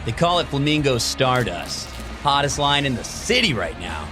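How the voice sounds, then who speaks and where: Male actor as brash young party guy, very animated delivery, excitable, audio drama, backing music. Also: medium pitch, moderate pace, close to an everyday voice. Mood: cocky, excited.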